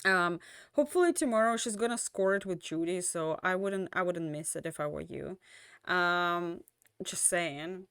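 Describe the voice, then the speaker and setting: A young woman streamer winds down her stream in loose, rambling chat, full of ums and restarts. She is tired and her voice is fading, yet it still comes through smooth and clear. The sound is close and dry, with no room around it. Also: fairly low pitch, normal speed, a bit bright, no echo. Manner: rambling, many fillers and restarts. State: losing her voice. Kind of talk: casual end-of-stream chat.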